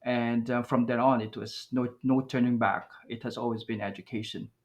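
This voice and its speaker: A man's voice: medium pitch, steady tone, normal speed, a little mellow. A man from the Philippines whose first language is Aklanon, speaking as a guest researcher in a podcast interview, thoughtful, with many fillers and restarts.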